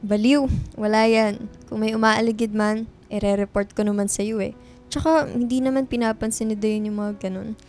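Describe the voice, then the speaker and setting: A woman's voice: on the high side, little pitch movement, normal speed, a little mellow, faint music behind, close to an everyday voice. An actress playing a young woman who is a loyal, guarded best friend, casual and reassuring, in a radio drama. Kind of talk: radio drama acting in casual Filipino talk.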